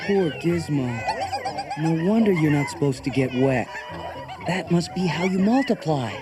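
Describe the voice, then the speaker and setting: A man playing an earnest, kind young man with a boyish, expressive delivery in a children's read-along audio drama. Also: a little high, a little slow. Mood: warm. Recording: cassette transfer with music behind. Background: music.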